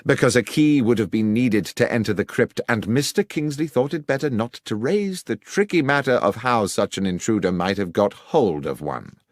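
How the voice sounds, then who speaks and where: A man voicing a sharp, confident detective in an audiobook, speaking with incisive, emphatic precision. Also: mid-range voice, expressive, normal speed, hardly any pauses, no echo, clean studio sound, pitched above the performer's own voice, lightly acted. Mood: incisive, assured. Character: sharp, precise male detective, confidently explaining his deductions. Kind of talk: audiobook character acting.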